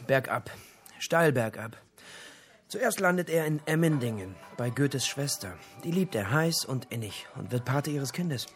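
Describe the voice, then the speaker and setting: An actor playing an investigator in a naturalistic radio drama, laying out findings in a dry, clipped way. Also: male voice, medium pitch, expressive, measured pace, faint music behind, lightly acted. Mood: brisk, grim recounting. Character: male investigator, dryly explaining his findings.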